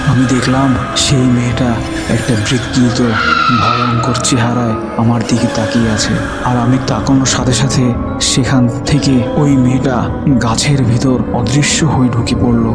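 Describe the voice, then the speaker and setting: A man's voice: mid-range voice, close to an everyday voice. A man playing a young university student who tells his ghostly tale in dramatic, suspenseful first-person narration. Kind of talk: scripted first-person horror narration with acted dialogue.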